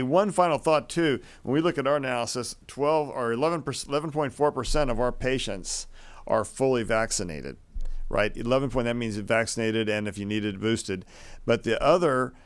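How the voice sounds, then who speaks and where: A man with a low, clean and resonant voice hosts a live panel briefing. He speaks off the cuff, sometimes doubling back on his words. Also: clear, resonant tone. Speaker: host and physician moderating a briefing.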